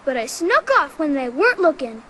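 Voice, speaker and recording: A woman voicing a naive, earnest little wooden puppet boy in an animated game, childlike and hesitant. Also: high-pitched, brisk, heavy background noise. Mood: earnest, defensive. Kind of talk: animated game character acting. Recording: loud music behind the voice.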